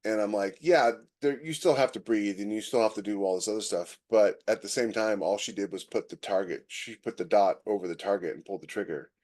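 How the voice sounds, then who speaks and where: A man's voice: lowish voice, a little fast, hardly any pauses, darkish tone. American man, casual and opinionated podcast talk. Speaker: podcast host. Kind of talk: casual chat.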